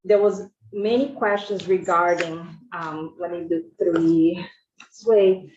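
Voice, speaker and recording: A woman's voice: lowish voice, steady tone, slow pace, a little mellow. A woman from Brazil with a Brazilian Portuguese accent, testifying informally and earnestly as a school food service director. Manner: informal, self-correcting, earnest. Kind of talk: committee testimony. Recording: echoey, muffled hearing room audio.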